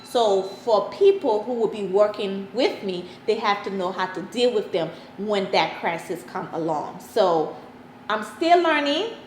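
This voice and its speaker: A woman's voice: mid-range voice, expressive, unhurried, a little mellow. A woman from Liberia with a Liberian accent, speaking emphatically and plainly as a guest in a personal interview. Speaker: interview guest, parent advocate. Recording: roomy echo, faint background noise.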